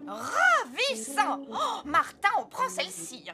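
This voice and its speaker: A woman's voice: very high-pitched, wildly swooping pitch, slow, full character voice. A woman voicing a gushing, easily charmed holidaymaking mother in a cartoon, effusive in manner. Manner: effusive, delighted. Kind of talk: cartoon voice acting. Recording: music behind the voice.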